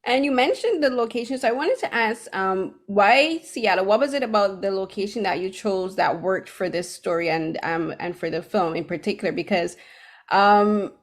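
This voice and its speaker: A woman's voice: medium pitch, moderate pace. A woman from Barbados interviewing filmmakers over a video call, chatty and hedging with lots of "like" and "kind of".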